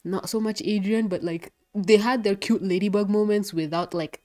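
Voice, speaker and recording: A young adult woman reviewing a cartoon episode in casual commentary, gushing and excitable, swearing freely, sounding congested and nasal. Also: medium pitch, normal speed.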